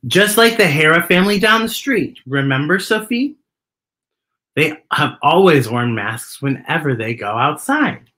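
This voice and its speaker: A man voicing a gentle picture-book grandfather who explains things in a deliberate, reassuring way. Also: fairly high pitch, lively intonation. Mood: explaining, reassuring.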